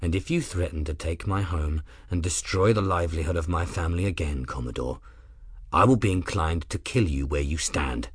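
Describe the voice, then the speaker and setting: Steely airship captain, very low, slow, husky, quiet and level; a man putting on the voice. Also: dry recording, clean studio sound, close to an everyday voice. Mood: cold calm.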